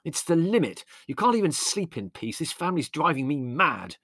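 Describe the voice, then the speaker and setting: A man's voice: medium pitch, sing-song, brisk, fairly bright tone, dry recording, studio quality. A man voicing an irritable, sarcastic young man who bellows and grumbles bitterly.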